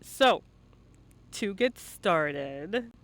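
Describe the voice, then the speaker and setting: Man, high voice, bright tone, live stream host, teasing, sweary, informal. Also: animated, very slow, poor audio. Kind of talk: casual live-streamed interview, playful banter. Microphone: close mic at a computer.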